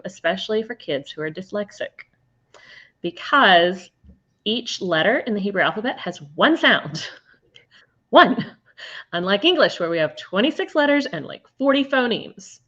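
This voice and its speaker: A woman's voice: lowish voice, fairly bright tone, highs cut off. A woman teacher talking casually about her classes, chatty, with frequent ums and laughs. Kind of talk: casual chat.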